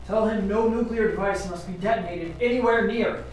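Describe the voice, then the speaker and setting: A man's voice: high-pitched, a little fast, close to an everyday voice. Heavily echoing and away from the mic, a man plays an intent research scientist. He is questioning and serious, in radio-play style. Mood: urgent. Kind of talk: radio drama acting. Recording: very echoey, distant mic, music underneath.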